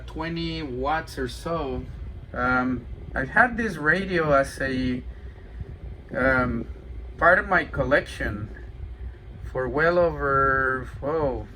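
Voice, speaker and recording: A man with a slightly high, bright voice talks casually as a radio operator showing off gear. His delivery is slow and hesitant, with light noise behind him. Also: low-quality recording. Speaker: amateur CB radio operator demonstrating a radio for sale. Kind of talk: casual radio chat and product demonstration.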